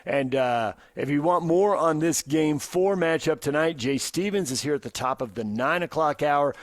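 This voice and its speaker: A man's voice: a little high, no echo. An American man hosting sports talk radio in an emphatic, conversational manner, close to a studio microphone.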